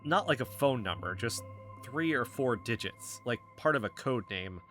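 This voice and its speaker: An earnest man, played by an actor, confides his suspicions as an amateur investigator in a naturalistic podcast drama. His mid-pitched voice is insistent and defensive, with faint music behind. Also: animated, moderate pace, fairly bright tone, close to an everyday voice. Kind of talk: naturalistic fiction podcast acting as recorded conversation.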